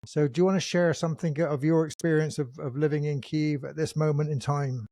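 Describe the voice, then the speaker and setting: A man hosting a podcast interview, introducing his guest and asking thoughtful questions in a relaxed manner. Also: fairly high pitch, fairly quick, no echo, clean studio sound. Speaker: podcast host. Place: England.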